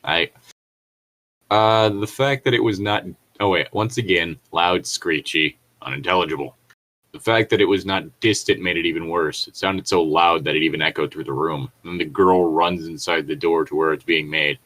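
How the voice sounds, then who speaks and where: A low-pitched man reads a story aloud as a co-host. He slips into casual, digressive asides with an irreverent, foul-mouthed manner. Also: normal speed, fairly bright tone. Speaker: co-host reading and commenting on stories. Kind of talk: read-along with casual joking asides.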